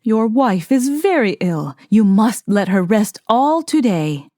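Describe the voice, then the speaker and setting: A woman's voice: mid-range voice, big pitch swings, slow, warm tone, dry recording, studio quality. A woman voicing a scheming middle-aged stepmother in a storybook, cautioning and controlling. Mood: hushed, sly. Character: scheming middle-aged mother, wicked fairy-tale stepmother.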